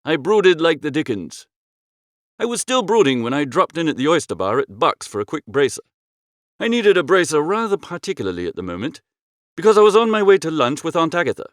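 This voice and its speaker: A man narrating a comic first-person story in an expressive, breezy and theatrical way. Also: fairly high pitch, brisk, dry recording, studio quality. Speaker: audiobook narrator.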